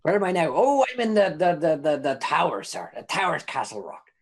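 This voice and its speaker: Cheeky rambling prisoner informant, played by a man, stammering, folksy, slightly high. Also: huge pitch swings, fairly quick, full character voice. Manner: rambling, stammering, old-timey folksy phrasing. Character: imprisoned male informant Tad, chatty, cheeky, rambling.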